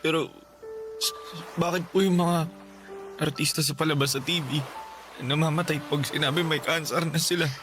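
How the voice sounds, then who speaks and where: A man's voice: a little high, fairly even intonation, normal speed, long pauses, music in the background, lightly acted. A male actor playing a worried, innocent schoolboy in a radio drama, speaking politely and hesitantly. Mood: anxious, hesitant. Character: worried, innocent schoolboy, older brother of sick child. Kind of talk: Tagalog radio drama acting.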